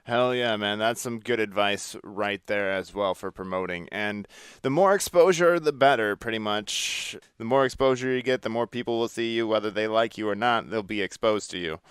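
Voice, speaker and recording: A man's voice: lowish voice, moderate pace, fairly bright tone, clean tone, dry recording, clean studio sound. A man hosting a casual interview, informal and agreeable in the way he responds. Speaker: podcast host.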